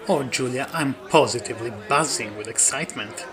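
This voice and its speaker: Man playing mid-pitched pop idol. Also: brisk, lightly acted. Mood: excited. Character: excitable idol group member. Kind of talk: audio drama interview. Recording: crowd murmur behind.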